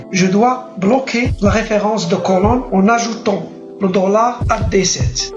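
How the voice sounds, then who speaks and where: A man's voice: sits high, dull audio. Male tutorial narrator, methodical step-by-step delivery, roomy echo, music bed. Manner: steady step-by-step dictation of clicks and formulas. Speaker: software tutor. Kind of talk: screen-recorded tutorial narration. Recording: echoey room with music behind.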